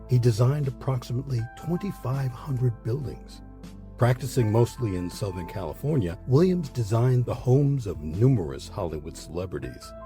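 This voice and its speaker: A man reading scripted documentary narration in a measured, articulate way with emphatic phrasing. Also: lowish voice. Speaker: narrator of a history program.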